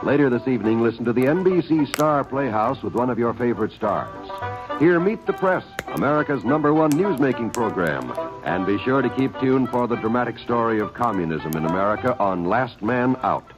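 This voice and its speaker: A man giving network announcements in a crisp, formal announcer delivery, on a dull old radio broadcast with music behind him. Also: medium pitch, expressive, brisk. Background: orchestral music.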